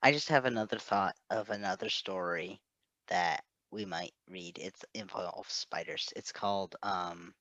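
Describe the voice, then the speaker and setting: A man's voice: low-pitched, measured pace, sharp tone, no room sound, highs cut off. A man hosting in a casual chat style, enthusiastic and prone to rambling. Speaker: host.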